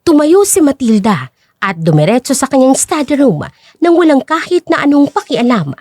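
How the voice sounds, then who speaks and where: Woman narrating a drama story, highish voice w lots of pitch movement, super dry recording. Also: sing-song, moderate pace, dark tone, no room sound.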